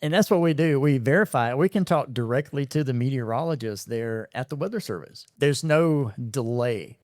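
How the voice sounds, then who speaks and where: Male radio host, mid pitch, steady explainer, dry studio. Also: no room sound. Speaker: radio host and storm spotter. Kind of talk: casual interview talk.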